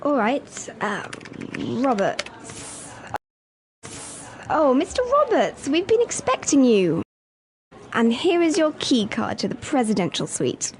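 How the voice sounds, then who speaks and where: Woman reading a receptionist, high bright lilting voice, careful clear lines for learners. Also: sing-song, slow pace, long pauses, slight background noise, exaggerated voice. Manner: clear, careful scripted delivery. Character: woman calling in sick, then a polite hotel receptionist. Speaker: dialogue reader in an English listening lesson. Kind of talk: scripted role-play dialogue.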